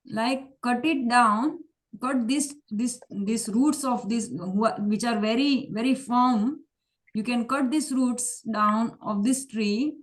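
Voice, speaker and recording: A woman teaching a verse class, repeating and restarting, mixing English and Hindi. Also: on the high side. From India. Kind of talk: online class explanation.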